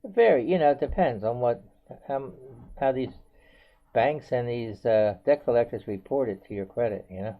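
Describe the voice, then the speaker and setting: A man's voice: medium pitch, moderate pace. An American man in his seventies talking casually and unscripted to viewers, rambling and circling back with frequent filler phrases. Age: 70s. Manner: rambling, repetitive, often says "you know". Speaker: video blogger. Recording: dull, band-limited home video audio.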